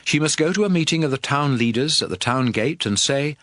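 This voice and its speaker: A man narrating scripture in a measured, authoritative manner for a dramatized audio production. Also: mid-range voice, animated, fairly bright tone, dry recording, clean studio sound. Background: music.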